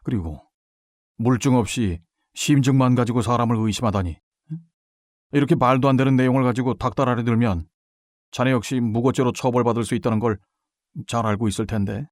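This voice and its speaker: A man's voice: medium pitch, fairly quick, no room sound, studio quality, close to an everyday voice. A male actor playing a guilty middle-aged baseball umpire in an audio drama, talking down to others with defensive, menacing indignation. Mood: feigned calm. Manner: condescending, feigned calm breaking into indignant outbursts.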